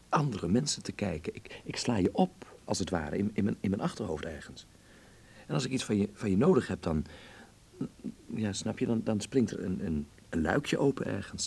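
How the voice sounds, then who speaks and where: Radio play lead: reticent young male lover, low gravelly voice, observant, halting, understated delivery. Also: a little mellow, exaggerated voice. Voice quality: husky, gravelly. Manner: low-key, halting, often murmured. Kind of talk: Dutch radio play acting, intimate dialogue.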